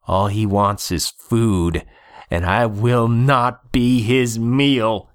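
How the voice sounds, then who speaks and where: Guy putting on a hurt old-west sorcerer doc, raspy n strained, bitter, defiant, slow grave audiobook delivery. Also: on the low side, fairly even intonation, no room sound, clean studio sound. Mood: defiant, accusing. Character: wounded, bitter, grimly defiant male sorcerer doctor.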